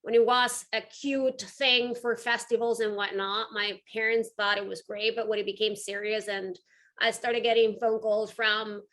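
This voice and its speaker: A woman's voice: fairly high pitch, flat delivery, moderate pace, fairly bright tone. A woman with a Venezuelan accent giving a conversational talk to an audience as a guest speaker, earnest and emphatic. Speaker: guest speaker, art curator and entrepreneur.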